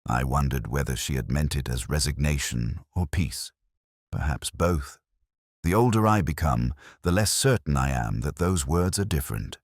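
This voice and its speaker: A man playing a weary, ageing English detective, narrating a literary drama in a measured, solemn, reflective way. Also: rumbling low, lively intonation, no room sound, clean studio sound, heavily acted. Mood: weary, melancholy. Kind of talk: first-person literary audio drama narration.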